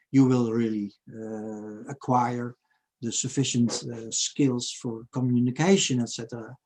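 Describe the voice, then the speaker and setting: Man lecturing on a video call, halting. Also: medium pitch, dark tone, husky. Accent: Dutch. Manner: halting, many fillers, reads Spanish quotes aloud. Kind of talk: academic seminar lecture.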